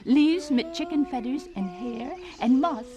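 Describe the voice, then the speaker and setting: Brief: warm, chatty German farm wife with a high, lively voice. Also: female voice, measured pace, over music. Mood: gentle. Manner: gentle, affectionate, laughing often. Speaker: farmer's wife Susan.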